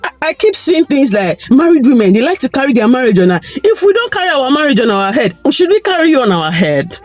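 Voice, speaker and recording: A Nigerian woman with a croaky, tired voice, giving her opinions in a chatty vlog and pushing her points with rhetorical questions. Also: high-pitched, very animated, fairly quick, faint music behind. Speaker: vlogger.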